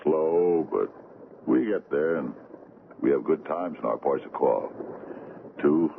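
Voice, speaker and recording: An actor playing an easygoing, plain-spoken tramp steamer captain in a radio drama. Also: male voice, extremely low pitch, slow pace, gravelly, exaggerated voice. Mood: easygoing, content. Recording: tinny old radio broadcast, faint noise.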